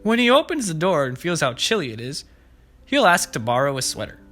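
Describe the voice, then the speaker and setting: A man's voice: fairly high pitch, very animated, fairly quick, a bit bright. A man hosting preschool story time, reading aloud and explaining things simply and expressively to young children. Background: background music.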